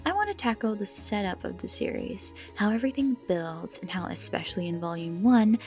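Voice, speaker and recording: A woman delivers scripted horror commentary at a medium pitch. She stresses words for drama and often lets the ends of phrases fall to a breathy hush, while music plays behind her. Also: animated, brisk. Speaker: video essay host.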